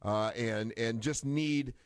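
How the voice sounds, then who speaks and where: Middle-aged radio host guy, earnest and halting, dry close mic. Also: medium pitch, dry recording. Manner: halting, many fillers and restarts, earnest. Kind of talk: casual radio talk. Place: radio studio.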